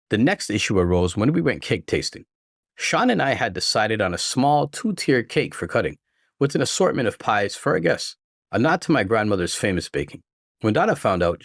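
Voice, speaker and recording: A man's voice: on the low side, no room sound, clean studio sound. A man reading a scripted first-person relationship story as a narrator. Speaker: narrator reading online stories.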